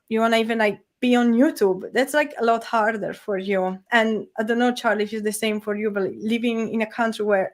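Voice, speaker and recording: Woman, stops and starts a lot, casual chat. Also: fairly high pitch. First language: Italian. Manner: hesitant, with many fillers. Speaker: guest creator.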